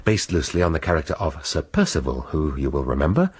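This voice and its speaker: Low, husky-voiced English detective. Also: male voice, animated, exaggerated voice. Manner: measured, reflective, dry wit. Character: senior English detective, brooding and bookish. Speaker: police detective inspector. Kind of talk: radio drama.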